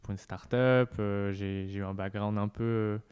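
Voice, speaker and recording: French guy in his thirties, low smooth voice, halting casual chat full of fillers. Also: fairly even intonation, a little mellow. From France. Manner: hesitant, many fillers and restarts. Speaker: podcast guest.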